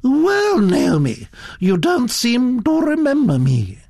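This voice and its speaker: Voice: mid-range voice, huge pitch swings, slow pace, vocal fry, darkish tone, no room sound, clean studio sound, voice pushed up, exaggerated voice. A narrator voicing an imperious, gossipy elderly Duchess in a storybook reading, with a fussy, exclamatory manner. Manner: autocratic, exclamatory, fussy hesitations.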